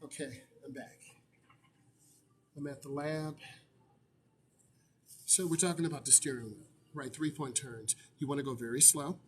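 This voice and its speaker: A man's voice: medium pitch, steady tone, unhurried, pauses often, a little hiss or hum. Chatty man answering questions live. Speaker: driving instructor. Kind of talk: casual live-stream Q&A.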